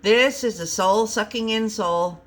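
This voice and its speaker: A woman's voice: mid-range voice, moderate pace, voice pushed up, natural voice. Woman as blunt, earnest female lab sidekick. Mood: blunt certainty.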